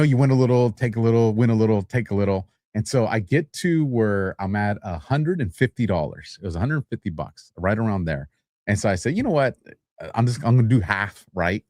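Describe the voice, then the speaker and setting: A man's voice: lowish voice, a little mellow, dry recording, studio quality. A man co-hosting a podcast in casual chat, rambling through a story in a self-deprecating way. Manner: rambling, self-deprecating, frequent restarts and laughs. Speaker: podcast co-host.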